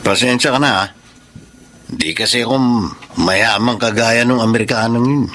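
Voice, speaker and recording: An actor with a Filipino accent playing a bitter, rejected suitor in a radio drama, sulky and reproachful. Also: male voice, medium pitch, moderate pace, sharp tone, close to an everyday voice. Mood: bitter, hurt. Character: bitter, jealous rejected male suitor of modest means.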